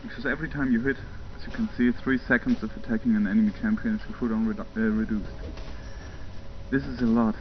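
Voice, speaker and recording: A man's voice: fairly low pitch, steady tone, fast talker, a little hiss or hum. A young German man giving casual, rambling commentary over gameplay footage, full of fillers and little chuckles. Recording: muffled home mic with game sounds.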